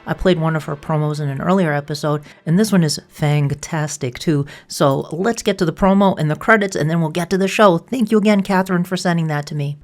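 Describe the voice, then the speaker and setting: A woman's voice: sits low, a little fast, few pauses. A woman hosting a fan podcast, chatting and making introductions in an enthusiastic manner. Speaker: podcast host.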